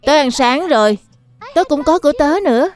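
High cartoon bear cub voice, done by a woman, eager and earnest, music behind it. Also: animated, brisk. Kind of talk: dubbed children's cartoon dialogue. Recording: music under the dialogue. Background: background music.

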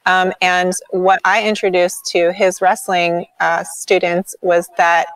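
Lively, chatty woman on a video call. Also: lowish voice, slow pace, smooth, no echo, rough recording. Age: 40s. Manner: enthusiastic, frequent ums and likes. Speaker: podcast guest, sprint coach. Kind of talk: casual interview chat.

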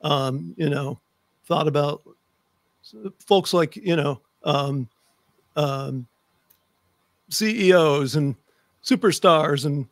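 American guy hosting a show, fairly high voice, dry close mic, chatty. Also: slow, long pauses, dry recording. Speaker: talk show host. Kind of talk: chatty show introduction and interview. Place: home office with bookshelves, indoors. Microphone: close desk microphone.

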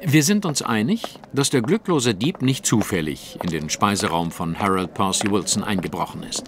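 A man's voice: fairly low pitch, animated, normal speed, background noise, close to an everyday voice. An actor playing a shrewd, haughty male detective in an audio drama, speaking in a precise, formal, lecturing way. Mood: analytical. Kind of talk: German audio drama acting.

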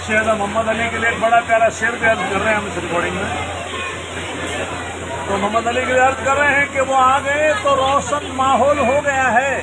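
A man's voice: extremely high pitch, fairly even intonation, normal speed, a loud crowd. A middle-aged man recites poetic couplets to guests in a florid, courtly, declamatory way as a restaurant entertainer. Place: busy outdoor restaurant courtyard at night. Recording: noisy open-air restaurant, phone recording.

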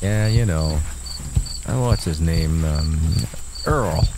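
An actor playing a gruff, needling, defensive older brother in an audio drama. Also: male voice, rumbling low, takes it slowly, heavily acted. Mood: casual.

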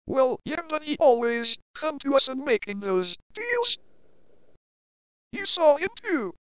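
A woman's voice: sits high, very animated, takes it slowly, dry recording, lo-fi sound. A woman voicing characters in a fan comic dub, earnest and explaining.

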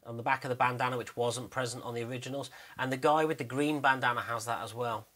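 Man, bright crisp-edged tone, mid pitch, casual behind-the-scenes narration, rambling, hesitant, self-deprecating. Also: steady tone, fast. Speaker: animator and video narrator. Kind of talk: casual screen-recording walkthrough narration.

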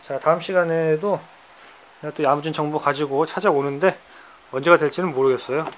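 A middle-aged man whose voice is hoarse and cracks now and then, sitting a touch higher than usual. He chats in a loose, teasing way while filming his pets up close on a handheld phone. A faint insect buzz hums under the talk. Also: steady tone, fairly quick, a little hiss or hum. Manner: chatty, teasing, drops into banter. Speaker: pet keeper vlogger. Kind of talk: casual how-to vlog. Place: indoors among pet enclosures.

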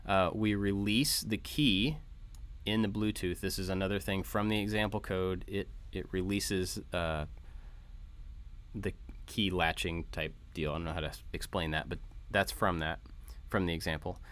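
A man's voice: deep voice, fairly even intonation, unhurried, fairly bright tone. A man narrating an informal code walkthrough as a tutorial host, explaining things step by step. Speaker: host of a maker tutorial channel. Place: voice-over for a screen recording.

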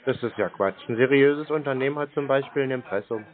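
A man's voice: mid-range voice, a little fast. Laconic, teasing man on a phone line. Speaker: prank caller baiting scam call centers. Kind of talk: casual phone conversation. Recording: band-limited phone-call audio.

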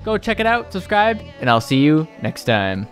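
A man's voice: a little high, very animated, normal speed, over music. A man speaking as a video host in a promotional outro addressed to viewers. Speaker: YouTube host.

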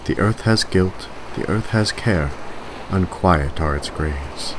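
Very slow, low-voiced man reading poetry. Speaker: poetry reader. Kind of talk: solemn poetry recitation. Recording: clear background ambient noise.

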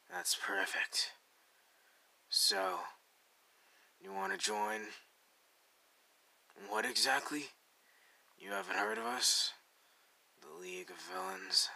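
A gravelly young male anime villain, acted by a man for an ASMR roleplay. He drawls slowly with a sharp, forceful edge. His manner is cool, deadpan and teasing, with menace underneath. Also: lowish voice, full character voice, rough recording. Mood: cool, inviting. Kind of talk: ASMR roleplay addressed to the listener.

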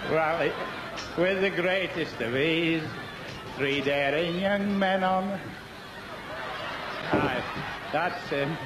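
A man's voice: fairly high pitch, slow pace, long pauses. A man with a Yorkshire accent giving jokey, cheeky live commentary on an outdoor game show. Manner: bantering, cheeky, chuckling. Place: outdoor park event. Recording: outdoor event, crowd and background noise.

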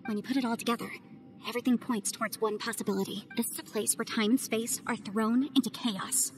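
Game voice: woman playing a bright-voiced, analytical young adviser, high pitch, music underneath. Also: steady tone, fairly quick, close to an everyday voice. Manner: analytical, earnest, persuasive. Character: sharp, confident young woman legal adviser.

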